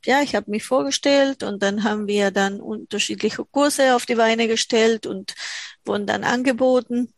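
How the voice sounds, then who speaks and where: A woman's voice: on the high side, no echo. A middle-aged woman from Argentina, a native Spanish speaker with an Argentine accent, answering interview questions conversationally and searching for words. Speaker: interview guest, language teacher.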